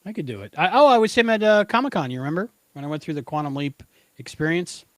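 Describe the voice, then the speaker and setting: Guy with a slightly high voice cohosting a podcast, super dry close sound, casual chat. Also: lively intonation, quick pace, a bit bright, no room sound. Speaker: podcast co-host. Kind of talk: casual chat, episode discussion.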